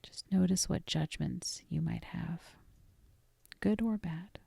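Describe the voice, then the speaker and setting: A woman narrates a guided meditation in a soft, lowish voice with a good deal of fry. She is gentle and deliberate, leaving pauses and easing off almost into a whisper. Her tone stays dark and relaxed throughout. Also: no room sound. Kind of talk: guided meditation, scripted and soothing.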